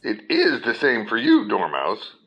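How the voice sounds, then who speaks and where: A woman's voice: extremely low pitch, wildly swooping pitch, a little slow, slightly rough, heavily acted. Woman as a wildly swooping, rude Mad Hatter. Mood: rude, manic. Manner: loud, emphatic, blunt. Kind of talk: radio play acting.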